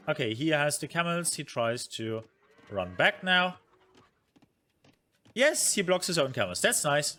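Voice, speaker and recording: A man at a desk microphone reviewing his own strategy game match, thinking aloud in a rambling, self-critical way. His voice sits at an ordinary middle pitch, and he breaks off now and then to talk to his chat. Faint game music plays quietly underneath. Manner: talks to stream chat. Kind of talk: casual game commentary.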